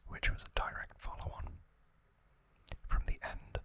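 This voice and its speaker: A young man whispers very slowly for ASMR, right up at the microphone. His hushed voice is crisp and bright, with each short phrase set carefully apart. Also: no echo. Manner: hushed, slow, deliberate delivery in short separated phrases. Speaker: host of an ASMR review series. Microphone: close ASMR microphone.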